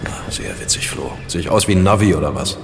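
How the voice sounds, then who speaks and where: A cheeky young detective in a radio play, with a low voice that swings about expressively. He is naive and questioning, joking as he goes, in a reverberant space with music underneath. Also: expressive, fast talker. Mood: mock annoyed. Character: cheeky, naive young male amateur sleuth. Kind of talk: German audio drama acting.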